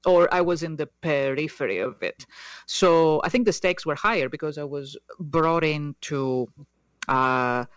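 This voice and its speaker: A woman's voice: sits low, measured pace, dry recording. Hesitant woman, dry sound. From Greece. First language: Greek. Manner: hesitant, many fillers and restarts. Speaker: guest. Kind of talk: casual interview conversation.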